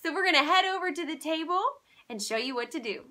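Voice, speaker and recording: A woman's voice: high-pitched, fast talker, background noise. A woman in her thirties hosting a children's read-aloud, speaking expressively to young kids as she presents and reads picture books. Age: 30s. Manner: animated, exaggerated expression for kids.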